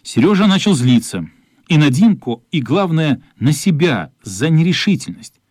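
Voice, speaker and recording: A man's voice: on the high side, big pitch swings, normal speed, a little mellow, no echo, studio quality. A man narrating a radio play as a scripted story.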